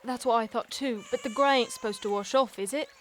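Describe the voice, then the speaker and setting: A woman's voice: fairly high pitch, fairly quick, slight background noise, close to an everyday voice. A woman playing a plain-spoken rustic young woman in a fantasy audio drama, folksy, hesitant and earnest.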